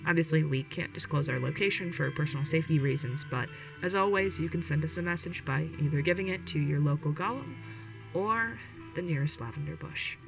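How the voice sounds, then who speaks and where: A woman's voice: low-pitched, animated, brisk, creaky, recorded from a distance, music in the background, low-quality recording. A woman playing an earnest, protective young rebel radio host in an audio drama, speaking hesitantly and directly to listeners. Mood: earnest, wistful. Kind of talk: podcast audio drama, conversational radio monologue.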